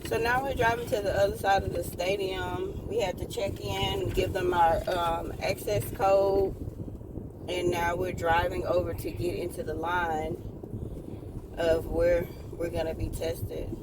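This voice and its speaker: A woman's voice: lowish voice, little pitch movement, unhurried, very noisy. A woman talking casually to her vlog audience in a hesitant, anxious manner. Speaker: vlogger. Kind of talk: casual personal vlog talk. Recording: music bed behind the voice.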